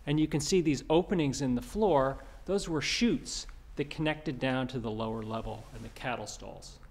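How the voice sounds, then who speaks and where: A man's voice: mid-range voice, normal speed, a little mellow. A man in his thirties hosting a museum tour, asking questions and describing exhibits inside an old barn with a lapel mic. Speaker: museum host. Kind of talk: guided tour interview. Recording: echoey barn room, faint noise.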